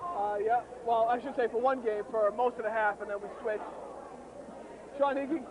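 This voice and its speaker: High-voiced hoarse man doing courtside commentary. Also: moderate pace, a crowd in the background. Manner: excitable, emphatic. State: hoarse, losing his voice. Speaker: play-by-play commentator. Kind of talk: amateur league courtside commentary. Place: courtside at a basketball game. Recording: tinny, noisy outdoor recording.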